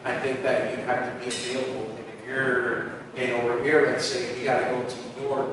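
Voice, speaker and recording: A man's voice: medium pitch, level tone, fairly quick, crowd noise. A man hosting a podcast, asking questions in a laid-back way and swearing casually. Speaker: podcast host. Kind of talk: casual interview chat. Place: podcast studio with graffiti wall. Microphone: headset mic seen, far camera. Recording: echoey room, distant, noisy.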